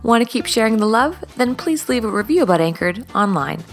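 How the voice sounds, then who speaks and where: Lively woman hosting a podcast. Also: a little high, fairly quick, soft background music. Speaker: podcast host. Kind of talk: scripted intro and interview.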